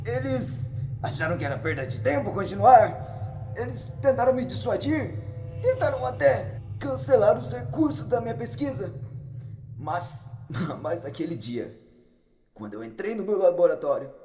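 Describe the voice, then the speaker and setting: A man's voice: high voice, lively intonation, measured pace, darkish tone, low-quality recording. A man playing the pompous, theatrical ghost of a scientist, telling his story formally in an audio drama. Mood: dramatic. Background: music.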